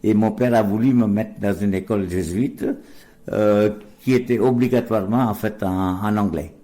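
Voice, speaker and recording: A man's voice: on the low side, moderate pace, dark tone. An older man from India, a Gujarati speaker, answering interview questions in a hesitant way full of fillers and restarts. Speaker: guest, deacon. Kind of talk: radio interview.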